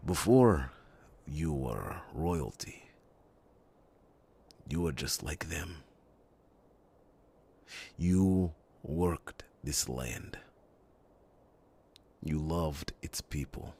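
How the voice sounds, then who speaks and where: Man acting very deep, slow, solemn royal guard captain. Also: animated, creaky, mellow, slightly rough, heavily acted. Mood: solemn devotion. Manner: solemn, reassuring, formal, halting with dramatic pauses. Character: loyal, earnest male captain of a royal guard. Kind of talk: ASMR fantasy audio roleplay.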